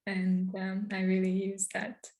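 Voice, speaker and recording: A woman with a Hungarian accent, a native Hungarian speaker, speaking as an interview guest over a video call, soft, thoughtful and halting. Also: mid-range voice, monotone. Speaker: guest, trauma counselor. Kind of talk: reflective interview talk.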